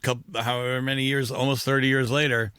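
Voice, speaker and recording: A man's voice: mid-range voice, little pitch movement, quick pace, pushed and bright, smooth, dry recording, studio quality. A man co-hosting a podcast, talking casually about films in a rambling way, often interrupting himself. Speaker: podcast co-host. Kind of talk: casual film discussion.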